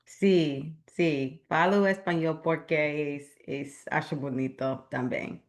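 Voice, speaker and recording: A woman from the United States, a language learner, giving slow, careful short answers in an interview. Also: low voice, steady tone.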